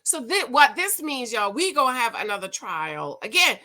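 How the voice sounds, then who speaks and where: Sassy, bright-voiced woman in her forties. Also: a little high, very animated, normal speed. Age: 40s. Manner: sassy, chatty, addresses viewers as girl and y'all. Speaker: commentary vlogger. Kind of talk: casual gossip commentary.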